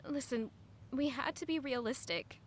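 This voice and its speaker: A woman's voice: high voice, moderate pace. An actress playing a guarded, wistful young lady-in-waiting in an audio drama, speaking earnestly and introspectively. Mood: pained, resigned. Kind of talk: audio drama narration and dialogue.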